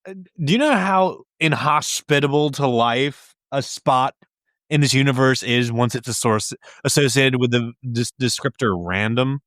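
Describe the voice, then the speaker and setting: Wry, pedantic male podcast co-host, middle-pitched, casual banter, very dry recording. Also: normal speed, a bit bright, no echo.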